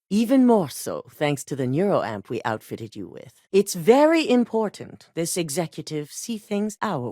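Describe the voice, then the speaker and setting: An icy corporate boss, low-voiced. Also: female voice, no echo, studio quality. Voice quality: clipped, commanding, icy. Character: cold ruthless woman corporate executive. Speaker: video game character. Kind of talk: game dialogue voice acting.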